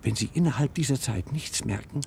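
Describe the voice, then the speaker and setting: An actor playing a determined, persuasive professor held as a patient, speaking earnestly in a radio drama. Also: male voice, mid-range voice, lively intonation, brisk, vocal fry, darkish tone, a little hiss or hum. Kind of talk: German radio play acting.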